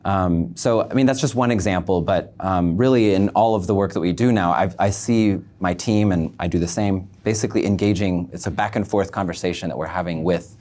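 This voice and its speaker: A man speaking as an interview guest, explaining things in a measured way and often pausing mid-thought. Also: low voice, darkish tone. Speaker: guest, embassy public affairs officer. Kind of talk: thoughtful online interview answers.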